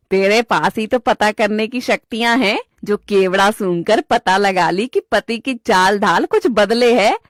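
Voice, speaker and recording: A woman's voice: mid-range voice, dry recording, natural voice. A woman puts on an older village mother-in-law for a cartoon story. She has a rustic North Indian accent and scolds in a blunt, bossy, earthy way. The recording is very dry.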